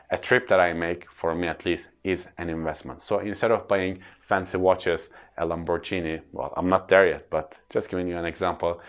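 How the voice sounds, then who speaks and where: A man in his thirties with a Turkish accent, explaining personal finance in an earnest, plain way to camera. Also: low-pitched. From Turkey. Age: 30s. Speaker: freelance trainer.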